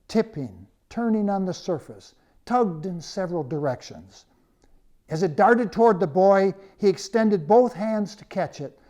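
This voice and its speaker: Male storyteller, slightly high voice, scripted narration, measured dramatic phrasing, deliberate pauses. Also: mellow. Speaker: author reading own story.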